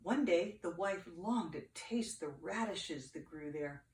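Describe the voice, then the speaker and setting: An older woman reads a storybook aloud expressively, with dramatic pauses and playful asides to children. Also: lowish voice, animated, a little mellow. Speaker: grandmother reading to grandchildren. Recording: echoey room, distant mic.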